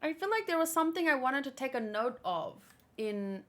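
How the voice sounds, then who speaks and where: A woman's voice: sits high, big pitch swings, moderate pace. A woman host chatting casually and informally about manga, rambling a little as she thinks aloud.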